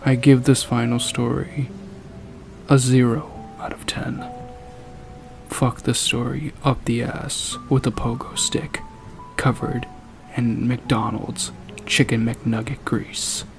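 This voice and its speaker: Male narrator-reviewer, very heavy vocal fry, unhurried scornful delivery, music underneath. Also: medium pitch, level tone. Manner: deliberate, foul-mouthed, scornful. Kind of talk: dramatic reading and ranting review. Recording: music playing behind the voice. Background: background music.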